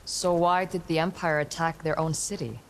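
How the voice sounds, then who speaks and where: A woman's voice: low voice, steady tone, normal speed, slight background noise. An actress playing a guarded, determined young woman adventurer in a fantasy video game, terse and matter-of-fact. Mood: resolute. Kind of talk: dramatic video game acting.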